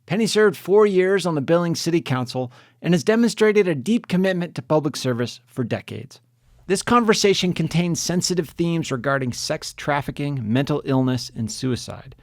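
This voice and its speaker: Male podcast host, gravelly voice, dry close sound, reads a scripted intro then interviews. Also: on the high side, no room sound.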